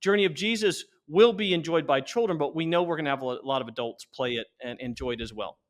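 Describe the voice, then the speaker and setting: A man in his forties speaking as an interview guest, earnestly explaining and pitching in a conversational way, often restarting his sentences. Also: fast talker. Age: 40s. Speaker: interview guest, company founder.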